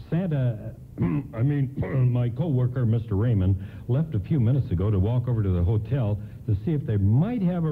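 A man's voice: mid-range voice, lightly acted. An actor in a radio play playing a kindly, courteous and reassuring department store doorman. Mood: apologetic. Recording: lo-fi, tinny, noisy radio broadcast.

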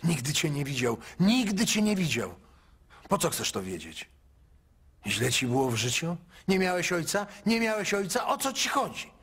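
A man's voice: a little high, very animated, moderate pace, a bit bright, slightly rough, pitched above the performer's own voice, full character voice. A male actor voicing an angry, stubborn older mother in a radio drama, scolding and repeating herself. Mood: angry, defensive.

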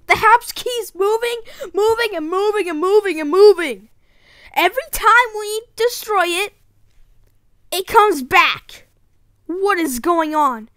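A woman voicing an excitable, easily panicked kid in a monster adventure game, with a wide-eyed, exclamatory manner. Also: very high-pitched, takes it slowly, dry recording, studio quality, full character voice. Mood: alarmed, worried. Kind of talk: video game voice lines.

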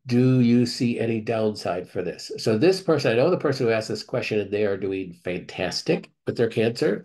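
Low-voiced man reading questions and answering. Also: warm tone. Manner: measured, thinking aloud with fillers and restarts. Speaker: clinic doctor answering member questions. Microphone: screen-share video call.

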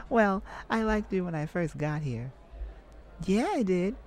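A woman's voice: on the low side, darkish tone, voice pushed down. A woman voicing a bashful, flirty male lounge singer in a coy, intimate ASMR roleplay. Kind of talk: ASMR roleplay, intimate addressed-to-listener acting.

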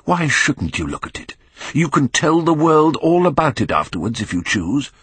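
A man acting a cold, mocking young gentleman, husky. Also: fairly high pitch, very animated, moderate pace, no room sound, voice pushed up, full character voice. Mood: defiant mockery. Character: proud, contemptuous young Victorian gentleman, bitter and mocking.

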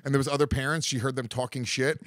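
Guy rattling off rambling stories real quick on a comedy podcast, dry studio mic. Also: mid-range voice, fairly even intonation, no room sound. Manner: rambling storyteller, gets loud and worked up. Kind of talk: casual comedy chat. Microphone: studio mic with headphones.

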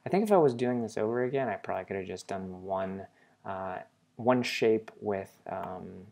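A man presenting a software tutorial in a casual, explanatory way, informally thinking aloud as he goes. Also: deep voice.

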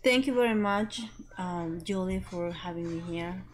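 A woman's voice: lowish voice, normal speed. A young woman from Ecuador, a guest in casual chat, speaking carefully and searching for words. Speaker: guest entrepreneur. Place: small studio with green-screen set.

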